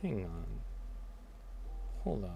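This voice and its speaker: Male livestream host, casual chat, animated pitch with unhurried delivery, music underneath. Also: creaky, noisy background.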